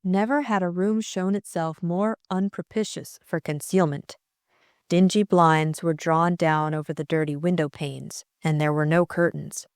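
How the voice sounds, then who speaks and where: A woman's voice: lowish voice, fairly even intonation, unhurried, darkish tone, no room sound, clean studio sound. A woman narrating a classic mystery novel as a scripted audiobook reading.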